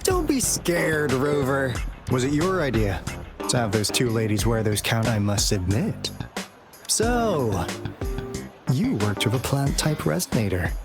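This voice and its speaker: A man's voice: medium pitch, very animated, a little slow. An actor playing a sly, playful male information broker in a video game, with teasing, theatrical salesman patter. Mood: smug, probing. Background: music.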